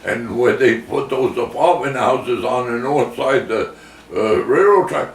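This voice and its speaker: An older man reminiscing in a casual oral history interview, talking in halting, rambling phrases and repeating himself. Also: mid-range voice. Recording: echoey room, distant mic, faint noise.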